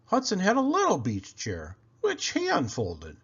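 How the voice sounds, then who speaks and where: Male children's storyteller: slow, deliberate read-aloud narration with very lively intonation. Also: sits high, breathy edge, dull audio. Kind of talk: expressive picture book narration.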